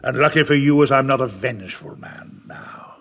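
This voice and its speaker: An actor playing a wily, domineering middle-aged pirate captain with a gravelly voice, theatrical and full of rustic sailor talk, in an audio drama. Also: male voice, mid-range voice, moderate pace, fairly bright tone. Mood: commanding.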